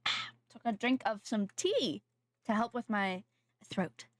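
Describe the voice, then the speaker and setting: A woman's voice: mid-range voice, big pitch swings, unhurried. A young adult woman streaming a game, chatting casually with viewers in a slightly nasal voice from a sore throat, rambling and correcting herself. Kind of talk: casual live-stream chat while gaming.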